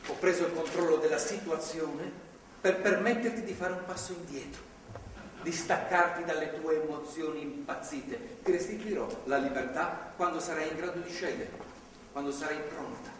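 An actor playing a fervent, controlling middle-aged priest in a stage drama, speaking in a measured, preachy, paternalistic way. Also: male voice, on the high side, close to an everyday voice. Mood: earnest, preachy. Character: fervent, controlling anti-abortion priest holding a woman captive. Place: theatre stage. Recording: echoey theatre hall, distant mic, faint noise.

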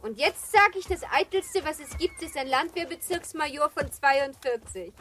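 High-voiced, playful young noblewoman. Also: lively intonation, normal speed, a bit bright. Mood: teasing. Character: playful, teasing young married noblewoman, about seventeen. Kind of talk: German literary radio drama acting.

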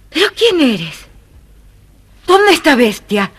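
Young fairy-tale heroine: tender, earnest, animated, higher-pitched, for a storybook record. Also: female voice, very slow, exaggerated voice. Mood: startled. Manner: earnest, theatrical. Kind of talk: scripted children's audio storybook acting.

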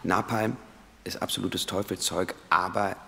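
Calm male investigator, deep creaky voice, deliberate, radio drama, long reverb. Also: heavily acted. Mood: analytical. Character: calm, analytical male investigator confiding his findings. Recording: reverberant space, faint background noise.

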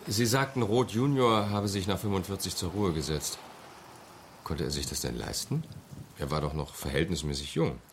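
An authoritative police captain in a radio crime drama. His voice is deep and his questioning formal and curt, over faint background ambience. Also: normal speed, a little hiss or hum. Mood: coolly official.

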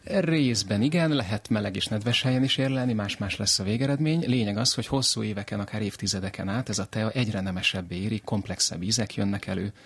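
A man's voice: lowish voice, hardly any pauses, husky. A man explaining conversationally as an expert guest, with an assured, affirming manner. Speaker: studio guest, tea expert.

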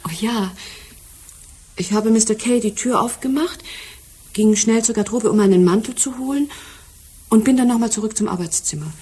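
Slightly husky, composed secretary. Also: female voice, a little high, normal speed, long pauses, dark tone. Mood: factual, earnest. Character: composed, precise female secretary giving a statement. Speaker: actress in a radio crime drama. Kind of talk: German radio drama acting.

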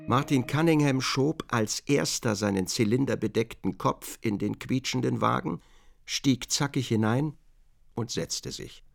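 A man's voice: medium pitch, measured pace, vocal fry, soft background music. A man narrating a literary radio drama from a script.

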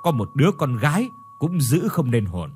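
A man playing a brutal, contemptuous husband in an audio story, loud, coarse and sneering. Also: medium pitch, sing-song, normal speed, slight background noise, lightly acted. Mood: angry, contemptuous. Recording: music behind the voice.

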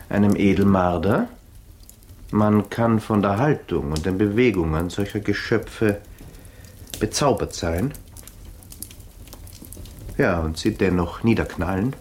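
An actor playing a cultivated young student in a German radio drama, quietly mocking and menacing, speaking formally and with deliberate insinuation. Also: male voice, fairly low pitch. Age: young adult. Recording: faintly noisy 1980s radio drama recording.

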